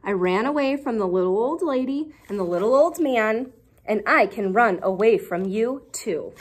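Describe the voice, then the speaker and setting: A woman puts on a boastful little gingerbread boy from a storybook. He chants his taunts in a high, bouncy sing-song. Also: animated, moderate pace. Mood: taunting.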